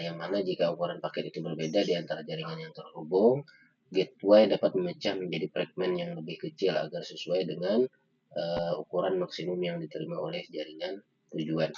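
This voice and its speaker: A young man giving a halting student slide presentation, pausing often on fillers. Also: sits low, a little mellow. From Indonesia. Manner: halting, with frequent filler pauses. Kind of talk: slide presentation of an article review. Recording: dull lo-fi microphone sound.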